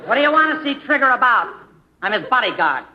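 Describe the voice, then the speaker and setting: An actress playing a gruff, belligerent female bodyguard with brusque, slangy threats in a vintage radio comedy. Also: fairly high pitch, very animated, fast talker, heavy background noise. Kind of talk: 1950s radio comedy acting. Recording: muffled vintage radio recording.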